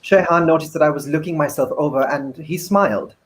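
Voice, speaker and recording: A male actor gives a dramatic reading of a first-person novel. His voice sits a little high for a man, and he narrates in a measured, deliberate way.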